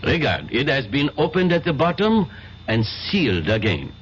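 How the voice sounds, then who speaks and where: An actor playing a polite, methodical French police inspector in a radio drama, with fussy, hesitant speech. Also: male voice, medium pitch, wildly swooping pitch, moderate pace. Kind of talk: 1970s radio drama acting. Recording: dull lo-fi old radio broadcast with faint hiss.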